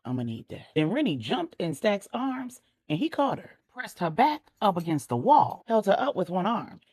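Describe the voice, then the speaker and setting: A woman's voice: mid-range voice, big pitch swings, moderate pace, a little mellow, husky. A woman narrating a story expressively as a storyteller, with a conversational touch.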